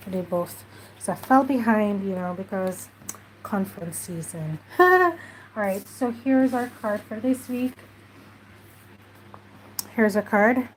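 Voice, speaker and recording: Woman, craft tutorial host, slow easy chat, a bit stuffed up. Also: medium pitch, a little hiss or hum, poor audio. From Jamaica. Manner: chatty, unhurried, talks while working. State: stuffy nose.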